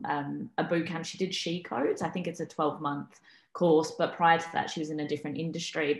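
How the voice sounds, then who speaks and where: A woman in her thirties with a low voice speaks hesitantly, pausing on fillers. Her voice carries a noticeable room echo. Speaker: panelist. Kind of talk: panel discussion over video call.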